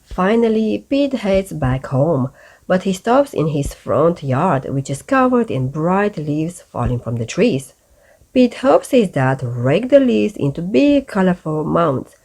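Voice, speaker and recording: Female storyteller for kids' picture books, slightly low voice, expressive, unhurried pacing. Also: low-quality recording. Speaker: storyteller reading a children's book aloud. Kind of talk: read-aloud bedtime storytelling.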